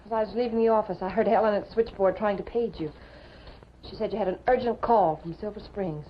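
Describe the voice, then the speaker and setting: An actress playing a sharp-tongued, worried newspaper colleague, prim and insistent, in a 1950s television drama. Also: female voice, mid-range voice, fast talker, natural voice. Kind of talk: 1950s American TV drama acting. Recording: muffled, noisy 1950s television soundtrack.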